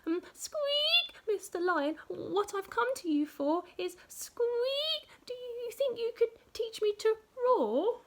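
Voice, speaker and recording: Woman doing a tiny squeaky mouse. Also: expressive, slow pace, hardly any pauses, exaggerated voice. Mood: timid. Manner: squeaky, hesitant, stammering. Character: tiny timid male mouse, squeaky and hopeful.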